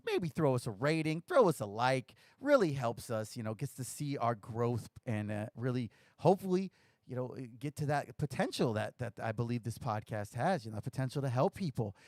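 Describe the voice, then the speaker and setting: A man's voice: mid-range voice, big pitch swings, normal speed, darkish tone, no echo, studio quality. A man hosting a recovery podcast on a live stream, talking casually and rambling a little, his voice slightly scratchy from lack of sleep. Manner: rambling, chatty, self-deprecating jokes. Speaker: podcast host.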